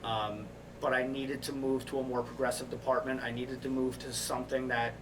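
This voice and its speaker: A man's voice: mid-range voice, flat delivery, fairly quick, far from the mic. A man, a firefighter, talking as a podcast guest, telling a personal story in a candid, reflective, casual way. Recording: off-mic with clear background noise.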